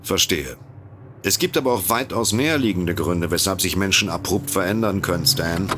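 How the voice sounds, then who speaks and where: The sensible sardonic detective in a comedy audio play, low voice, dry and measured. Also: male voice, expressive, a bit bright, a little hiss or hum. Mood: dry, skeptical. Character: dry, skeptical male detective, the sensible partner. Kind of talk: comedic audio drama acting.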